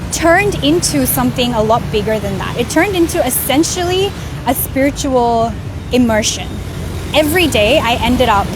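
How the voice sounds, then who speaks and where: A young woman, raised in Australia and born in India, telling her spiritual story in an earnest, animated vlog, filmed outdoors on a street. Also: fairly high pitch, rough recording. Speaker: vlogger. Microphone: camera filming herself. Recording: music clearly under the voice. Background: music.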